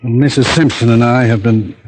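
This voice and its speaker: An actor playing a resigned, dying professor confessing his crimes in radio drama, with weak, labored, husky speech. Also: mid-range voice, steady tone, brisk, darkish tone, natural voice. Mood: weakening, confessing. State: labored, weak from a mortal wound. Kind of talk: 1940s-50s radio drama acting.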